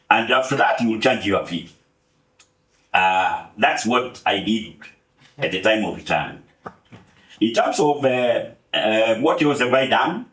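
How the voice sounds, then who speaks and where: A man in his seventies from Kenya speaks at an unhurried pace, with dry jokes and frequent filler pauses. His voice sits in an ordinary middle range. The sound carries the echo of a roomy space. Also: medium pitch, fairly bright tone. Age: 70s. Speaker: guest speaker. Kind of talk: relaxed storytelling talk.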